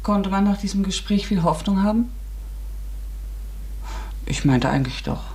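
A thoughtful narrator in a radio drama. The voice is slightly breathy and husky, with a measured, deliberative, questioning delivery. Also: male voice, high-pitched, animated, a little mellow, full character voice. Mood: reflective. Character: reflective narrator with a scientific bent.

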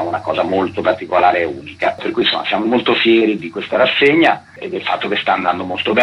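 Low, husky-voiced man being interviewed. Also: fairly bright tone, poor audio. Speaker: theatre producer, interview guest. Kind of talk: radio interview, promotional explanation.

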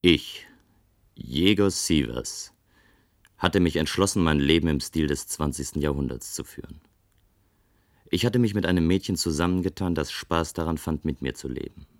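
An actor playing a restless man of a future society who longs for adventure, musing deliberately in a German science-fiction radio play. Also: rumbling low, vocal fry. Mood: measured narrating.

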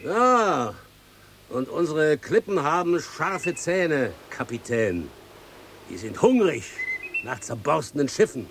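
A man's voice: fairly high pitch, big pitch swings, slow, noisy background, heavily acted. A man acting a dour, grumbling island coachman in a German radio drama.

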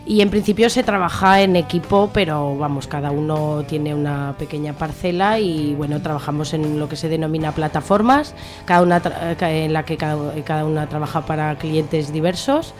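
A woman's voice: sits low, moderate pace, a little mellow, soft background music. A woman with an accent from Spain, a worker interviewed on the radio, explaining conversationally with hesitations and self-corrections. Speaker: interview guest, worker and union member.

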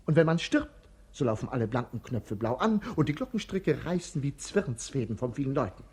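Jester-like servant, husky, bouncy delivery, punning and cheeky, radio play. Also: male voice, mid-range voice, big pitch swings, brisk, darkish tone, full character voice. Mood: playful. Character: witty, idle male servant and jester-like companion. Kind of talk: 1950s radio play acting.

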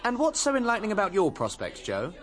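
An actor playing a moody young student in a radio drama, irritable, exclamatory and sardonic. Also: male voice, high-pitched, huge pitch swings, fast talker, a bit bright, exaggerated voice. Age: young adult.

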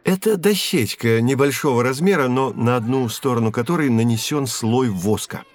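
An actor playing a learned professor who explains history in a measured, didactic way in an educational audio drama. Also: male voice, medium pitch, close to an everyday voice. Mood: patient, explanatory. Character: learned male professor guiding children through history.

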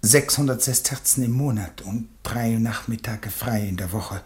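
An actor playing a deferential old valet to a Roman emperor in a radio play, servile, formal and plaintive. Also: male voice, fairly low pitch, normal speed, natural voice. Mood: faint, plaintive. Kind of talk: theatrical radio play dialogue.

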